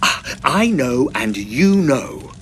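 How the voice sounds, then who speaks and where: A man's voice: medium pitch, very animated, takes it slowly, fairly bright tone, slight background noise. An actor playing a stern young male student, commanding and menacing, in an audio drama. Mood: cold menace. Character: stern, righteous young male student forcing a villain at gunpoint. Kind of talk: audio drama acting and first-person narration.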